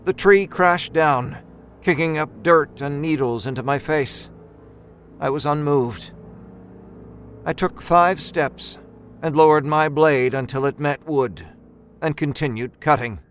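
A man reading a first-person horror story as a storyteller, in a measured, expressive and dramatic way. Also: on the high side. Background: musical score.

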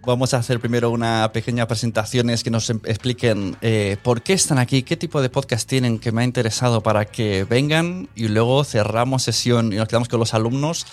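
A man's voice: medium pitch, brisk, few pauses. A man hosting a podcast in a casual interview style, informal and often chuckling as he talks. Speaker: podcast host.

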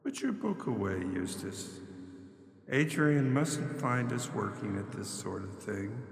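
A man playing a sinister, taunting disembodied hand in a radio horror play, speaking in a slow, deliberate, drawn-out way. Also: mid-range voice, animated, creaky. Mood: eerie, secretive. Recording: echoing hall reverb effect.

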